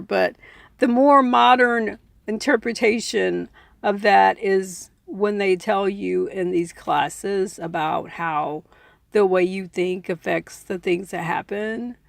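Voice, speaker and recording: A woman reading and explaining a self-help book in measured, deliberate phrases, in the style of an informal audiobook. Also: mid-range voice, gravelly, no echo. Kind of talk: audiobook-style reading with casual personal asides.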